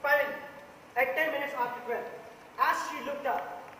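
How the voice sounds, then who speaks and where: A man playing a methodical juror in an amateur stage play, laying out his argument deliberately. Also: extremely high pitch, fairly even intonation, sharp tone. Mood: firm, methodical. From India. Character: methodical male juror arguing firmly for guilt. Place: theatre stage, recorded from the audience. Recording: noisy echoey hall, far from mic.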